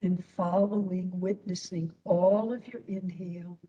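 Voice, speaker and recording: A woman instructor leading a guided meditation in a soothing manner, with long pauses between short phrases. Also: on the low side, steady tone, warm tone, distant mic, highs cut off.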